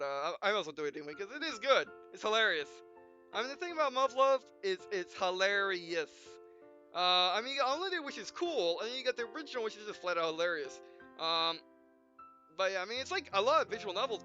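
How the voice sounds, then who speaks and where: A man hosting a casual gameplay video, rambling and often stumbling over his words and correcting himself. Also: high voice. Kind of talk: casual let's-play commentary.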